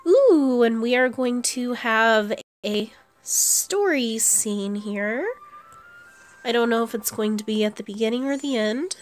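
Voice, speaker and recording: A woman's voice: a little high, measured pace. A woman giving casual commentary on her own gameplay, thinking aloud as she plays. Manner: thinks aloud while playing. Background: video game music.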